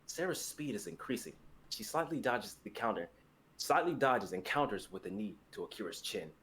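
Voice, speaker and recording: A young man narrates a dramatic script, reading out scene and action directions. His voice is fairly low. The recording carries clear background noise and room ambience. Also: animated, normal speed, a bit bright. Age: young adult.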